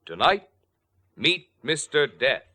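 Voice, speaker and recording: An actor playing a dramatic 1940s radio announcer presenting a mystery show. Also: male voice, fairly high pitch, very animated, slow pace, bright, forceful tone, a little hiss or hum, full character voice.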